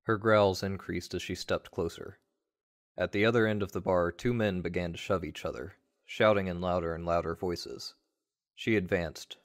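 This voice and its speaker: A man narrating a first-person story in a measured, wry way, like a radio play. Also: deep voice.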